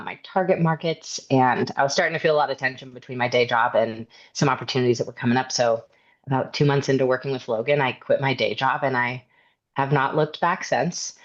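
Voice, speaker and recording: A woman in her thirties giving a friendly, eager introduction over a video call, with frequent "um"s. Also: very deep voice. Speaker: guest brand designer. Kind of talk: casual self-introduction. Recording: dull lo-fi audio.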